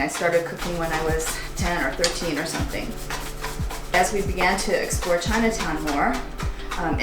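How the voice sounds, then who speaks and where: A middle-aged American woman talking informally and explaining things as a home cook presenting a cooking demonstration. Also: on the low side. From United States, partly Hawaii. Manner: conversational, explanatory. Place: indoors, roomy kitchen. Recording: echoey room with music behind.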